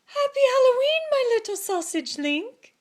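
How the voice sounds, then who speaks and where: A woman's voice: very high-pitched, big pitch swings, normal speed, voice pushed up. A woman voicing a doting mother dog in a storybook, with a sugary, singsong, calling manner. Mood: fond, excited.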